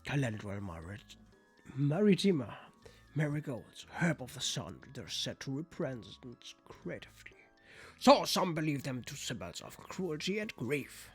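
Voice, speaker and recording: Brief: haughty male cat major for a visual novel, played by a man, lively swooping delivery, impatient, music underneath. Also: medium pitch, measured pace, husky, exaggerated voice. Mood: grandiose. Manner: pompous, condescending. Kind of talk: visual novel character read aloud. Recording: music behind the voice.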